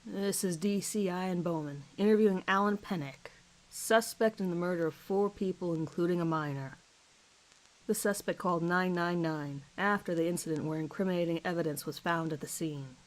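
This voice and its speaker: A woman's voice: on the low side, moderate pace, voice pushed down, close to an everyday voice, rough recording. A woman voicing a middle-aged British male police detective who questions a suspect in a formal, clipped, probing manner in an audio horror story. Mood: formal, procedural. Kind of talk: dramatized police interview recording.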